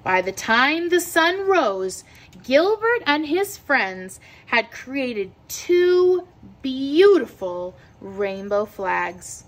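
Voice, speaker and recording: A woman reading a children's picture book aloud with expressive, deliberate storytelling delivery. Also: high voice. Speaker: read-aloud teacher.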